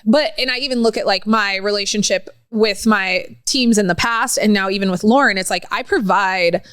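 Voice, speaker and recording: Young female podcast co-host, animated and chatty, mid pitch, dry. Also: normal speed, clear, resonant tone, no room sound. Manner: animated, laughs often, quotes herself in raised voice. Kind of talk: casual chat.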